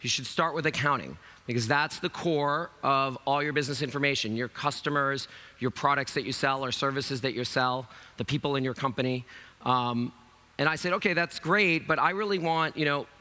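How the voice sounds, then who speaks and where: A man in his fifties with a slightly raspy voice hosts a keynote from a large conference stage. His sound is bright and forceful. He talks in a relaxed, chatty way and leans on fillers as he goes. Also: mid-range voice. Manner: loose and chatty, with many fillers. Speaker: keynote host.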